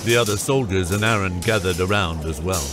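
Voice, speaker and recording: A male audiobook narrator tells an epic fantasy story. His voice is fairly low and the delivery is scripted third-person narration, with music faintly behind it. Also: brisk. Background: background music.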